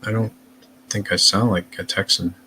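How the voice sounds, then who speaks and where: Low-voiced man in rambling casual chat. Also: fairly even intonation, normal speed, pushed and bright, slight background noise. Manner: rambling, hesitant, many fillers. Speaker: guest. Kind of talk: casual chat on a live stream.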